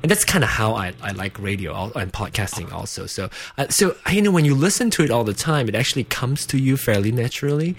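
A podcast host, a man from Malaysia whose Malaysian accent carries a touch of American. His pitch moves a lot as he jokes, giggles and pokes fun at himself over a conference call. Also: big pitch swings, quick pace, few pauses. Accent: Malaysian with some American. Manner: playful, giggly, self-mocking. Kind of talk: casual chat on a conference call.

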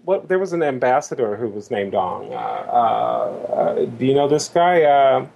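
Halting male podcast guest, mid-range voice. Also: medium pitch, expressive, creaky, dull audio, a little hiss or hum. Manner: halting, searching for words. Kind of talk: casual chat, telling anecdotes.

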